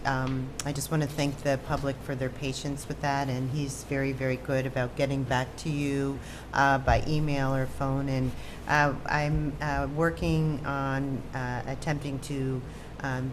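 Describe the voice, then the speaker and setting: A woman with an American accent and a very low voice chairs a public meeting. She gives informal updates in measured, hesitant phrases with little melodic movement. Clear background noise from the room runs under her speech. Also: level tone. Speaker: town supervisor chairing a meeting. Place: indoor town board meeting.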